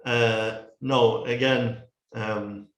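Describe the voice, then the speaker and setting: Dutch-accented man, halting, roomy echo. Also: medium pitch, fairly even intonation, fairly bright tone. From Netherlands. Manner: halting, many restarts and repeated words. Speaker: guest. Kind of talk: casual chat. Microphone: headset on a video call.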